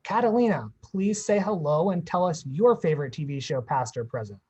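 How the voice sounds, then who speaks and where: A man's voice: on the high side, animated, brisk, warm tone, slightly rough, lo-fi sound. Upbeat male host reading guest introductions on a video call. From Pittsburgh. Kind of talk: scripted introductions in a panel talk.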